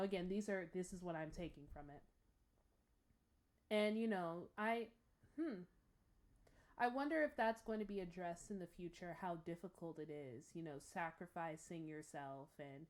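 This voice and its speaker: A woman hosting a casual reaction commentary, speaking thoughtfully and haltingly, often trailing off. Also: lowish voice, a little mellow. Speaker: reaction host.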